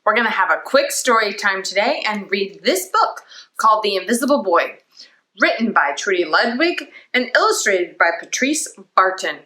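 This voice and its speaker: Animated woman storyteller in a roomy space. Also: medium pitch. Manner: animated, deliberate storytelling with character voices. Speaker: children's librarian and storytime host. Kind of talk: expressive picture-book read-aloud for children.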